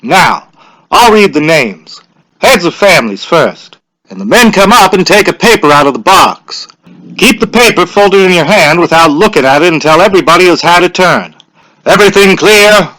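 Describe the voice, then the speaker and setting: A man's voice: high-pitched, lively intonation, moderate pace, pushed and bright, no room sound, pitched above the performer's own voice, low-quality recording. Man doing a raised, folksy small-town official. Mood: brisk, businesslike. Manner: folksy, businesslike, calling out to a crowd. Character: brisk, genial small-town official running a village ritual.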